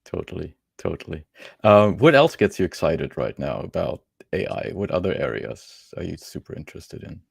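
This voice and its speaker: A man's voice: deep voice, big pitch swings, moderate pace, gravelly, husky, dry recording, clean studio sound. A man hosting a podcast interview as a tech journalist, talking in a casual, conversational style.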